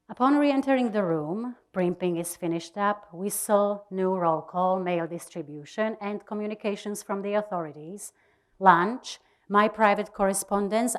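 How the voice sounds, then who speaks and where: A woman giving a dramatic reading of a diary, deliberate and expressive, with pauses. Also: mid-range voice. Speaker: reader of a diary.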